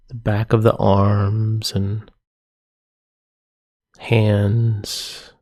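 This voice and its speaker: Slow, creaky-voiced man guiding meditation. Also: on the low side. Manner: gentle, unhurried, soothing instruction with pauses. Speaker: yoga nidra meditation teacher. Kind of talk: guided meditation.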